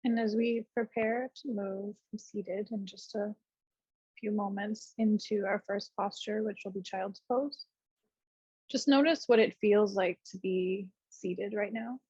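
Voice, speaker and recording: A woman with a husky, breathy voice leads a meditation as a yoga teacher. She speaks gently in short, unhurried phrases with space between them. The recording is dry and a little dull. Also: mid-range voice, steady tone, no room sound. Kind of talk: guided meditation, unscripted class instruction.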